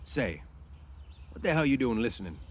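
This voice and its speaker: Storybook ranch hand, male reader acting: bossy, touchy, slangy, scolding, very animated intonation. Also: medium pitch, normal speed, pitched above the performer's own voice. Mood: angry. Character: wiry, quick-tempered male ranch hand, bossy and defensive. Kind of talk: storybook read-aloud.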